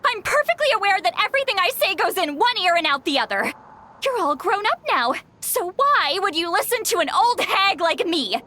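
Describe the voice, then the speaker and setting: Game granny: elderly woman, high and very animated, hot-tempered, scolding yet caring, exasperated delivery. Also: normal speed, heavily acted. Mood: angry scolding. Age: older. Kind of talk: video game voice acting.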